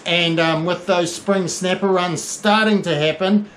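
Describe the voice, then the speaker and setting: New shop owner, forties, fairly high voice, chatty and rambling with fillers, small shop with a faint hum behind. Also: male voice, fairly even intonation, a little slow, a bit bright. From New Zealand. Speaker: fishing shop owner giving a fishing report. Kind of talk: casual spoken fishing report. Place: small indoor tackle shop by a window.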